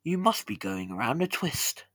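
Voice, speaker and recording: A man's voice: medium pitch, big pitch swings, moderate pace, a bit bright, no echo. Guy doing a bossy storybook mum, exasperated, snapping and telling off her kid. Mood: scornful. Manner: exasperated, emphatic scolding. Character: scolding, sharp-tongued, short-tempered working mother of a boy.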